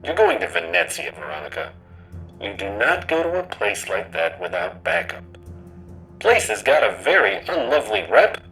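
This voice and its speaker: A man's voice: on the low side, very animated, normal speed, gravelly, sharp tone, faint music behind, heavily acted, low-quality recording. A man playing a loyal, protective soldier in an audio drama, with an informal, coaxing way of talking. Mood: concerned, insistent. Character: loyal, protective, casual male soldier comrade.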